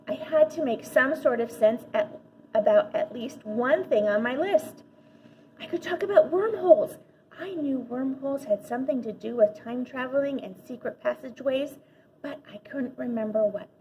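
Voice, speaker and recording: A woman reading a children's story aloud like a teacher, animated, with dramatic pauses and emphasis. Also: fairly high pitch, a little hiss or hum, poor audio. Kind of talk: expressive children's audiobook-style read-aloud.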